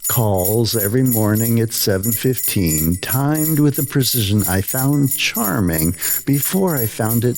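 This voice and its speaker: A man narrating a scripted first-person horror story in deliberate, short dramatic phrases with pauses. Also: fairly low pitch, darkish tone. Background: background music.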